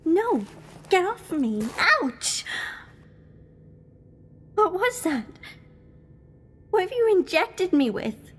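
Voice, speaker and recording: Female actor as scared captive heroine, very high, slow and halting, defiant. Audio drama. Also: big pitch swings, a little mellow, full character voice. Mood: panicked. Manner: anxious, protesting, halting. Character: Sophie, frightened captive young woman, defiant.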